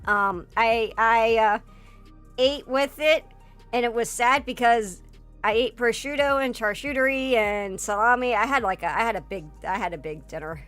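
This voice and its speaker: A hoarse woman streamer in a casual livestream chat, rambling with lots of fillers and swearing. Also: a little high, moderate pace, fairly bright tone. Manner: rambling, filler-heavy and profane, breaks into laughter. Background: faint background music.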